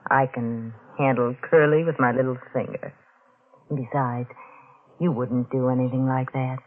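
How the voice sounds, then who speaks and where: Scheming, taunting thriller dame, tough and scornful, vintage radio sound with faint noise. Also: female voice, rumbling low, level tone, measured pace, long pauses, phone-quality sound. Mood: scornful, guarded. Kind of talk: old-time radio thriller acting.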